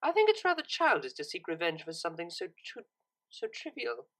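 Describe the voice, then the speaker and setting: A woman's voice: mid-range voice, big pitch swings, a little fast, fairly bright tone. A woman voicing a refined young cartoon mare who speaks formally and with cool disapproval. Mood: cool, indignant. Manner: formal, articulate, lecturing.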